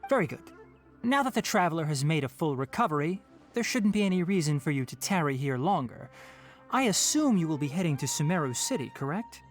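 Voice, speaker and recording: A guarded young forest ranger boy, polite yet firm. The voice is fairly low and expressive, as formal game dialogue with faint music underneath. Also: a little fast. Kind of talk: video game voice acting.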